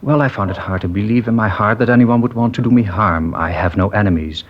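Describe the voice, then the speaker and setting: An actor playing a mild, trusting older man who owns a small dress factory, talking in a gentle, hesitant and rambling way in a 1950s radio drama. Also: on the low side, fast, slight background noise, close to an everyday voice. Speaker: witness in a police interview. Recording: muffled vintage radio broadcast.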